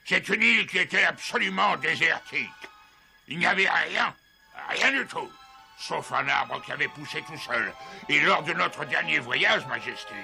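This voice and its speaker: A man playing an eager, well-travelled cartoon merchant who tells emphatic, marvelling tales in a creaky, sharp voice. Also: on the high side, heavily acted.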